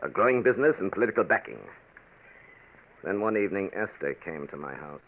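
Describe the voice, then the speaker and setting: Low-voiced, scheming old-radio gambler. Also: male voice, lively intonation, fairly quick, phone-quality sound. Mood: brooding, confiding. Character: cold, calculating gambler narrating his own sinister climb. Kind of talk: vintage radio drama acting. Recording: noisy, band-limited vintage radio recording.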